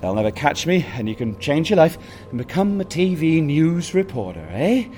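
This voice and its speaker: A man playing a soft-spoken sadistic killer. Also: a little high, very animated, normal speed, a little hiss or hum. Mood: mocking. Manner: soft, mocking, coldly polite. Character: calm sadistic male killer taunting a captive. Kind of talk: audio drama acting.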